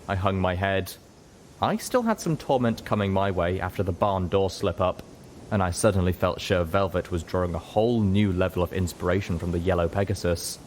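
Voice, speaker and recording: Very deep-voiced man narrating dramatically. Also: brisk, a little hiss or hum. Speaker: narrator, story reader. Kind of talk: dramatic first-person scripted narration.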